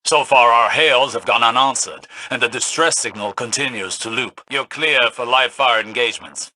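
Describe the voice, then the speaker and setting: An actor playing a calm, grave, scholarly mission advisor in a video game, speaking in measured, formal and cryptic phrases. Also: male voice, mid-range voice, rough recording. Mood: formal, guarded. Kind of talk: video game dramatic voice acting.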